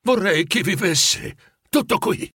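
Elderly miser for a storybook, played by a man: anxious, pleading, hesitant, rather high and husky. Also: huge pitch swings, unhurried, fairly bright tone, no room sound, studio quality, heavily acted. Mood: embarrassed. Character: old miserly man, anxious, embarrassed, softening.

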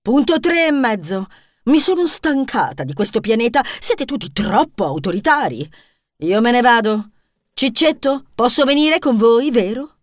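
A woman voicing a dramatic, bossy young woman who swings from loud declarations to hushed asides. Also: on the high side, big pitch swings, a little slow, dry recording, clean studio sound.

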